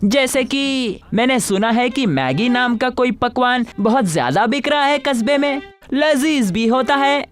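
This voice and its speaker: A woman voicing a cheeky servant sidekick to a village landlady in an animated story. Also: sits high, measured pace, few pauses, faint music behind. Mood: eager.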